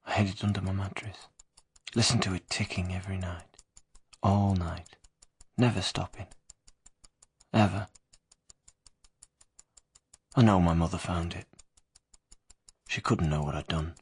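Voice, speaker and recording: An actor playing a haunted young killer, confessing in a halting, brooding radio drama narration. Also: male voice, deep voice, steady tone, breathy edge, no echo, exaggerated voice. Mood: brooding, cold.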